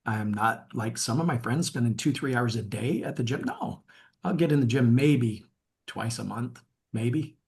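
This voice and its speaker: A man's voice: mid-range voice, lively intonation, moderate pace, vocal fry. A man coaching in a webinar, upbeat, asking viewers to rate themselves. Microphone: webinar mic.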